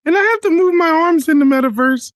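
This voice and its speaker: A man calling in to a show, shy and halting, with a soft sweet voice over an online call. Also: very high voice, no room sound. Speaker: call-in listener. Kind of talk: comic call-in bit.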